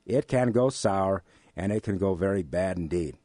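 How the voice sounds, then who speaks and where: An American man of mature years speaking as an economics professor and interview guest, explaining things in a deliberate, emphatic way. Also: low-pitched, animated, mellow, no room sound, studio quality. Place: radio studio.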